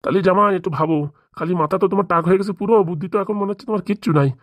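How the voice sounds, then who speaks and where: A man's voice: sits high, rapid-fire, no echo, natural voice. A man voicing a pushy, scheming father-in-law in a comic cartoon, badgering with questions. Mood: nagging, pushy. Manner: badgering, nagging with rhetorical questions. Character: pushy, scheming father-in-law pressing his son-in-law for money.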